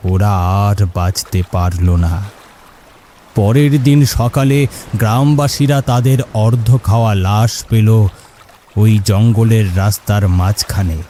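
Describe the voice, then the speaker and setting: A man's voice: on the low side, takes it slowly, mellow, a little hiss or hum. A man voicing a village boatman in a horror cartoon, speaking solemnly as he tells a tale. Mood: grave recounting. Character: village boatman, calm and grave storyteller. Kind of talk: Bengali horror cartoon voice acting.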